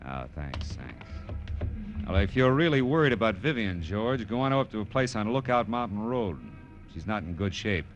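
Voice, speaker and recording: A low-pitched American hard-boiled private eye, speaks in clipped, brusque slang with a wisecracking edge. It is 1940s radio drama from an old broadcast, with clear music behind the voice. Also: male voice, big pitch swings, moderate pace, muffled recording. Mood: terse, pressing. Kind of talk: 1940s radio drama acting with first-person narration.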